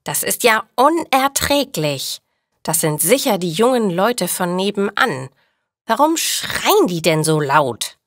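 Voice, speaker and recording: A woman's voice: medium pitch, sing-song, takes it slowly, dry recording, studio quality. A woman voicing a fussy older female neighbour in a story, indignant, scolding and self-righteous.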